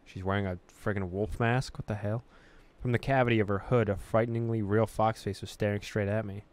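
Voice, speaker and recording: A man reading a horror visual novel aloud as a gaming host, with expressive storytelling and casual delivery. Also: fairly low pitch, a little fast.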